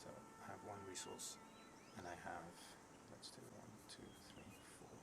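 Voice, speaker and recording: A man in his thirties narrating a solo tabletop game on a stream, unhurried, thinking aloud and murmuring asides. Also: low voice, gravelly. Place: indoor room at a theatre, at a table. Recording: noisy room with steady background noise.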